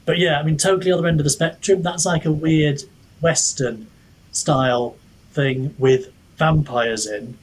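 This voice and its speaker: A man's voice: on the high side, steady tone, measured pace, husky, rough recording. A man speaking as a podcast guest in casual discussion, reflective and hesitant as he thinks aloud. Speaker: podcast guest, author and book reviewer.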